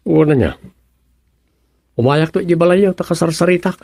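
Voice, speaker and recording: An earnest, helpful village man in a radio comedy. His voice is mellow and a little high, conversational, with a dry close sound. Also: moderate pace, gravelly, no room sound. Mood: earnest, concerned. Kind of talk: comedic Ilocano radio drama acting.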